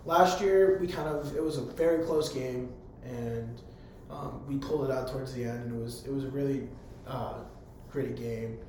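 A hoarse young man, a college public address announcer, answering interview questions in an eager, rambling way full of fillers. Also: medium pitch, moderate pace, a little mellow. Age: young adult. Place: room on a college campus. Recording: very echoey room, faint background hum.

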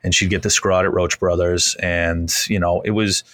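A man's voice: deep voice, level tone, moderate pace, fairly bright tone, dry recording. A man, a chef and restaurant owner, chatting as a podcast guest in a rambling, easygoing, self-deprecating way. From Massachusetts, US. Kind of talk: casual interview storytelling.

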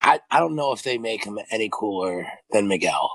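A man with a raspy, hoarse voice co-hosting a podcast in casual chat, rambling enthusiastically with plenty of fillers. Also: on the low side, big pitch swings, fairly quick, a bit bright, no room sound, low-quality recording. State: hoarse after a busy weekend. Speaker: podcast co-host.

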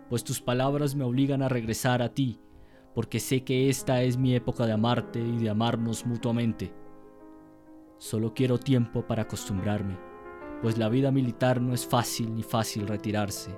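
A man plays a solemn general confessing his love in a radio drama letter. His voice is mellow and even, with measured, intimate delivery. Music plays behind him. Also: medium pitch, close to an everyday voice. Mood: tender, solemn.

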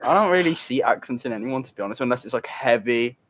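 A man's voice: medium pitch, lively intonation, fast talker. A young British man co-hosting a casual chat with friends, jokey and rambling, sometimes slipping into silly voices. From Britain. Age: young adult. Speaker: podcast co-host. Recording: dull lo-fi sound.

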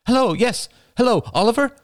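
A man's voice: a little high, sing-song, unhurried, voice pushed up. A man voicing a frightened, flippant young woman in an audiobook, breathless, shaky and blurting out words. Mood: breathless, nervous. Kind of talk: audiobook character dialogue.

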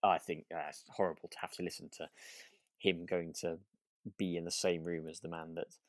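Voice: low-pitched, level tone, moderate pace, dry recording. A podcast co-host chatting casually about a radio drama, hesitant and thinking aloud.

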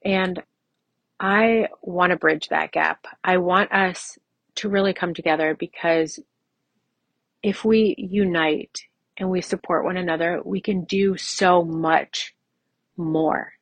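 A woman's voice: on the low side, steady tone, slow pace, no room sound. A woman in her mid-forties hosting a solo podcast, talking in a personal, conversational way and repeating phrases for emphasis. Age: mid-40s. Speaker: podcast host.

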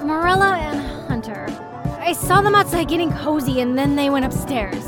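A woman's voice: high-pitched, lively intonation, normal speed. A woman playing a frank, matter-of-fact young friend at a party in an audio drama. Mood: reluctant, concerned. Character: blunt young female party friend breaking bad news. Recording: loud music behind the voice.

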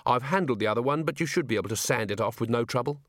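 A man's voice: mid-range voice, expressive, rapid-fire, fairly bright tone, no room sound, clean studio sound, lightly acted. A man voicing a young watch captain in a storybook, earnest, kindly and unfailingly polite. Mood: patient, cheerful. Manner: kindly, reasonable.

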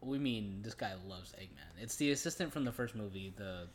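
A man's voice: on the low side, animated, brisk, a little hiss or hum. A man co-hosting a podcast, casually chatting through a movie in joking slang with some swearing. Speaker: podcast co-host. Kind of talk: casual movie recap chat.

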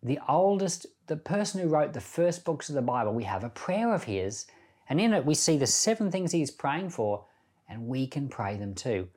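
A man's voice: fairly high pitch, very animated, normal speed, darkish tone, slightly rough. A man who is a pastor and podcast host, giving informal, plain-spoken Bible teaching and prayer, explaining by paraphrase.

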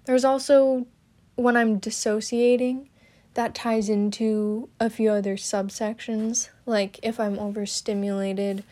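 A young woman talking about her own experience, hesitant and halting, with many fillers and restarts. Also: a little high, flat delivery, darkish tone. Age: young adult. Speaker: student podcaster. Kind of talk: personal talk about her stutter.

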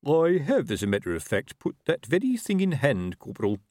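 A petty, pompous captain of the watch, acted out by a male reader, full of suspicion and self-importance. The delivery is animated and varied in pitch, recorded dry for a fantasy storybook. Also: a little fast, dry recording. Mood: self-important. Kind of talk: audiobook character voice.

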